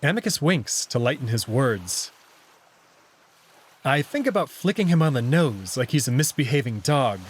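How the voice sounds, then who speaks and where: A man's voice: medium pitch, husky. A man reading scripted first-person story narration from a visual novel, with acted dialogue. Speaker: narrator and voice reader.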